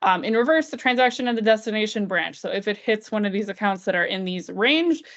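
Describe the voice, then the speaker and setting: A woman with a mid-pitched voice leads a software walkthrough on a slightly dull, lo-fi recording. She explains each step aloud as a trainer would, keeping it informal and conversational. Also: expressive, a little fast. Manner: informal, walks through steps aloud. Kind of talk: screen-share software tutorial, explanatory and conversational. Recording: dull, band-limited lo-fi audio.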